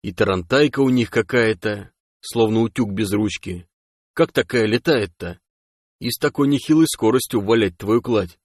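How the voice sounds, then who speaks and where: A man voicing a gruff, bossy, hot-tempered male stalker in an audiobook story, barking and cursing in folksy phrases. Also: fairly low pitch, normal speed, dry recording, clean studio sound, natural voice. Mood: amazed. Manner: barking, colourful mock curses.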